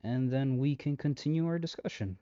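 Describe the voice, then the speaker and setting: A man's voice: medium pitch, brisk, dark tone, no echo, highs cut off, close to an everyday voice. A man playing a shady, flippant matchmaker in a comedic audio roleplay, rambling sarcastically to the listener with breezy menace. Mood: breezy, chatty. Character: shady, flippant male matchmaker coercing a trapped customer.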